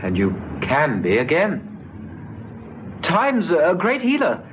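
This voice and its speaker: An actor playing a kindly, persuasive police officer in an old radio drama, earnest and coaxing, sometimes stammering. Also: male voice, on the high side, wildly swooping pitch, slow, heavily acted. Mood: gentle, coaxing. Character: kindly, persuasive male police officer talking someone round. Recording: dull, noisy old radio recording.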